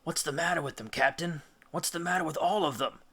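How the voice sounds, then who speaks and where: A man voicing an anxious young sailor who asks worried, hushed questions in a storybook reading. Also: a little high, a little fast.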